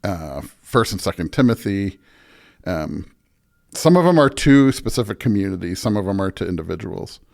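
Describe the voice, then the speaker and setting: Low-pitched American man, earnest and emphatic. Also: expressive, moderate pace, creaky, a little mellow. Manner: earnest, emphatic, frequent "right?" and "you know". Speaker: pastor, interview guest. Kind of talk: conversational podcast interview, explaining beliefs.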